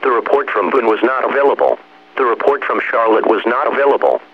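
A man's voice: mid-range voice, animated, quick pace. A synthetic male voice reading a formal weather bulletin over radio. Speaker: automated weather radio reader. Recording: dull, hummy weather radio reception.

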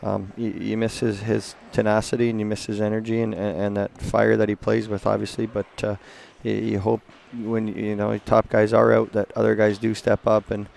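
A man in his thirties, a hockey coach giving a halting postgame interview at an indoor rink on a headset mic. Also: on the low side. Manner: flat, gravelly, halting with many restarts.

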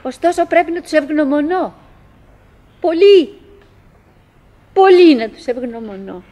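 An actress playing a chattering, wistful, nagging wife who clings to cheer, in halting, repetitive theatrical monologue for an absurdist radio play. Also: female voice, high voice, big pitch swings, warm tone, highs cut off. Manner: halting, repetitive, theatrical, mimicking remembered dialogue.

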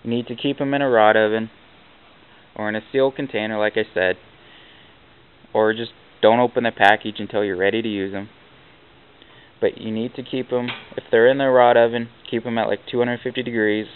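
A man with a lowish voice explains welding rods in a blunt, plainspoken shop manner, peppered with "like". The sound is thin and phone-like, with a faint hiss underneath, as if caught on a small camera pointed down at the work. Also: steady tone, brisk. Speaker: welding instructor. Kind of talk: casual hands-on tutorial. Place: workshop, chalking on a steel plate. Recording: tinny lo-fi camera audio with hiss.

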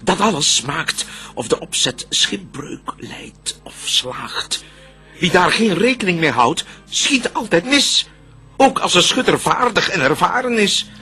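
An actor playing a proud, scheming, vengeful devil in a radio drama, declaiming verse in a theatrical manner. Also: male voice, high-pitched, sing-song, slow, sharp tone, soft background music, full character voice. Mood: urgent, defiant.

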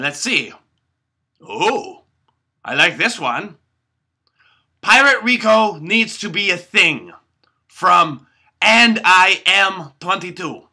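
Man voicing a puppet host, raspy, high-pitched, very bright, cheerfully dim. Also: full character voice. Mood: self-important. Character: naive self-important male puppet host, cheerfully dim. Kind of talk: comedic puppet show monologue. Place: indoor bedroom.

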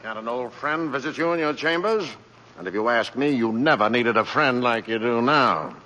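An actor playing a shrewd, blunt political crony in 1940s radio drama style. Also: male voice, mid-range voice, normal speed, pushed and bright, lo-fi sound, natural voice. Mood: urgent warning. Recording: noisy vintage radio recording.

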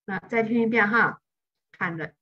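A woman's voice: mid-range voice, moderate pace, dull audio. A woman teaching English in an online class, explaining patiently and step by step.

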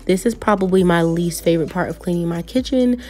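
A woman's voice: lowish voice, brisk, warm tone. A young woman chatting casually as she narrates cleaning her kitchen, with light laughs. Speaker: vlogger.

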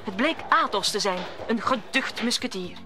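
A woman's voice: a little high, lively intonation, normal speed, over music. A woman narrating an audio drama in a scripted storytelling style.

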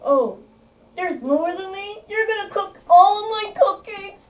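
Clueless whiny dino puppet, boy doing the voice, slow high voice, a bit far off. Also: expressive, a bit bright, roomy sound, exaggerated voice. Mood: naive. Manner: naive, whiny. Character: clueless childlike puppet dinosaur.

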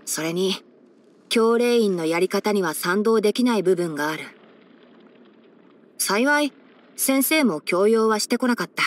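A woman voicing a boyish young male fox-eared forest ranger and scholar in an anime game, instructive and frank with a gently teasing manner. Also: mid-range voice, animated, unhurried, pauses often. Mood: calm, frank. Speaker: character voice actor. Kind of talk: anime game character voice lines.

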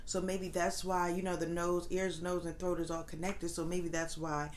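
A woman's voice: lowish voice, level tone, moderate pace, a little hiss or hum. A young woman vlogging candidly from her living room sofa, her voice congested and a little nasal, rambling with many fillers. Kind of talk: casual pregnancy update.

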